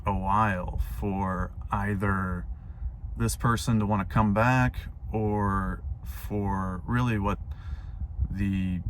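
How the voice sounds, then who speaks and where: A young man whose voice is hoarse and wearing out, so it sits deep and low. He rambles reflectively to his viewers from a parked car, leaning on fillers. A faint hum of ambience sits behind him. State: hoarse, voice going out. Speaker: vlogger. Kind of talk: casual talking-to-camera vlog.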